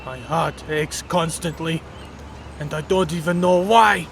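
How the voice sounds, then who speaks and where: Man playing a slow, gravelly, melancholy villain. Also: a little high, fairly bright tone, a little hiss or hum. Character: melancholy, lovelorn costumed male villain, wistful and awkward. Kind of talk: comic dub dramatic acting.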